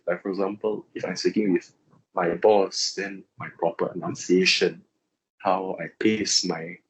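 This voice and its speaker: Young malaysian guy in an interview, lowish husky voice, lots of uh and ah. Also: poor audio. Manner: hesitant, many fillers and restarts. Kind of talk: casual interview answers.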